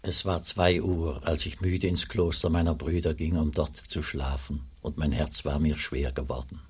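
An actor playing a weary, sorrowful monk who narrates in the first person in a radio drama, grave, subdued and very husky. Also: male voice, extremely low pitch, steady tone, normal speed, full character voice. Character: weary, sorrowful monk recounting his own pilgrimage.

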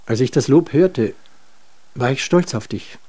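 A man's voice: medium pitch, animated, measured pace, warm tone, husky. An actor in a German radio drama playing a weary, stubborn man full of self-doubt, groping for words. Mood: weary, halting.

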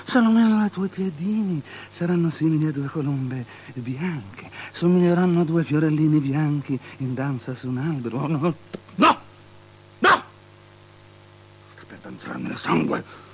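A man's voice: a little high, lively intonation, unhurried, a little hiss or hum, poor audio. An actor playing a lecherous, fearful biblical king in a theatrical drama, stammering and repeating himself obsessively between whispers and shrieks. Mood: anxious, excited. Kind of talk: avant-garde theatrical radio drama.

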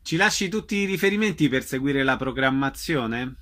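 A man's voice: medium pitch, animated, normal speed, fairly bright tone. A man hosting a radio arts programme in a conversational interview style.

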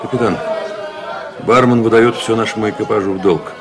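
An actor playing a dutiful, polite ship's officer in a businesslike manner in a radio play. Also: male voice, lowish voice, fairly even intonation, a little fast, crowd noise, lightly acted. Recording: noisy, with clicks.

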